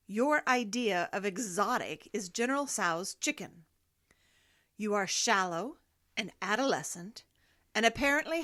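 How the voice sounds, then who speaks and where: A woman's voice: mid-range voice, fairly bright tone. A woman playing a scornful, mysterious interrogator in a horror audio drama, articulate and condescending.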